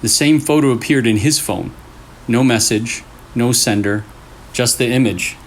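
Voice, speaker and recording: A man's voice: medium pitch, measured pace. A man hosting and reading scary stories aloud in short, suspenseful phrases, with casual asides. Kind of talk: storytelling with casual reactions between stories.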